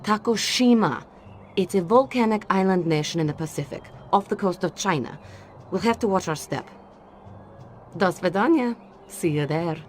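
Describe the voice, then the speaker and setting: A woman's voice: fairly low pitch, expressive, normal speed, a little hiss or hum, close to an everyday voice. A woman playing a shrewd Soviet spy in a video game, speaking with a Russian accent in a guarded, wry way. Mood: brisk, cool. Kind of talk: video game cutscene voice acting.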